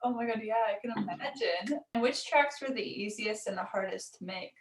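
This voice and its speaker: An enthusiastic woman interviews a guest in a relaxed, gushing way, cheering on her answers. Her questions come out light and chatty. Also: medium pitch, normal speed. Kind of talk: casual interview chat.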